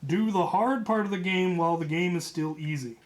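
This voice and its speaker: A man telling a story in a casual, rambling way as host, leaning deliberately on his key points. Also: high voice.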